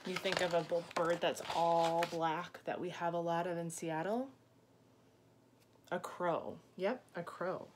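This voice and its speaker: Woman, thirties, teacher's read-aloud, slow delivery, gentle questions for children, noticeable room noise. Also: on the low side, steady tone. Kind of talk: picture book read-aloud with asides to children. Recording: clear background room noise.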